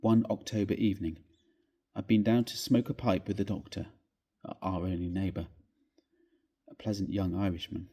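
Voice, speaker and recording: A man with a husky voice reading a story aloud in a measured, expressive, theatrical way. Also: lowish voice. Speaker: reader of a classic ghost story.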